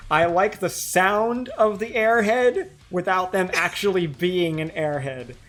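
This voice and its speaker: A man's voice: high voice, a little slow, fairly bright tone. A man chatting as a stream guest, laid-back and hesitant, often stammering and restarting. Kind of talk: casual stream chat.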